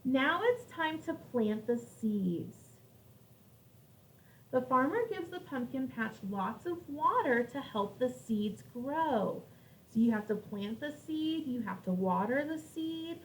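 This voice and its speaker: A woman's voice: high-pitched, big pitch swings, slow. A woman teacher reading aloud to young children, explaining things in simple words and putting questions to her listeners. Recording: distant microphone with faint background noise.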